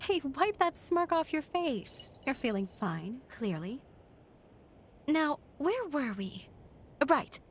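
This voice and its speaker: An actress playing an eccentric, eager young female scientist in a video game, with a playful, theatrical and expressive delivery, in anime-style acting. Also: high-pitched, takes it slowly, slight background noise, exaggerated voice. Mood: playful, intrigued. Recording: voice over background game music.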